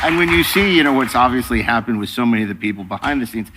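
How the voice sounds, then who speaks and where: A man's voice: mid-range voice, expressive, racing, heavy background noise. Male podcast host, mid-range voice, wordy conversational questioning, long hall reverb.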